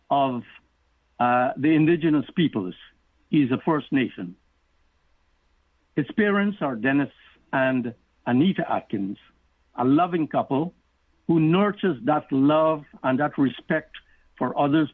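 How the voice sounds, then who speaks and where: A middle-aged man from Guyana, an author answering interview questions in a measured, explanatory way. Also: a little high, no room sound. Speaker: author and guest. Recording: tinny phone line.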